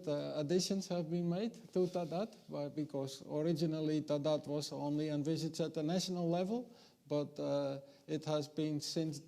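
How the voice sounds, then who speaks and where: Male panel moderator, dark and gravelly, monotone delivery. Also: on the high side. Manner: formal, hesitant introductions. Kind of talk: chairing a conference panel.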